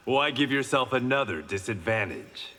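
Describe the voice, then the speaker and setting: Self-assured blind warrior from a fight game, crisp forceful tone, echoey arena. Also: male voice, medium pitch, big pitch swings, slow, heavily acted. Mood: confident, dry. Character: confident, honourable blind swordsman, reformed criminal. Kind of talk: video game fight banter.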